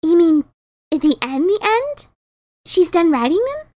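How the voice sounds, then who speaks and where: A woman's voice: very high voice, sing-song, measured pace, a little mellow, no room sound, studio quality, full character voice. A woman voicing a sweet, bubbly, nervously cheerful pegasus mail mare in a dramatic story reading, chirpy and earnest. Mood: chirpy, curious. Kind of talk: dramatic reading of fan fiction.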